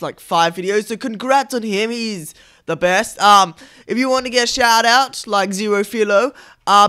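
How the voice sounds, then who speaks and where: A young man giving excitable gameplay commentary as the host, exclaiming and often repeating his words. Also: high voice, clean tone. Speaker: gaming video host. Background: game music and sounds.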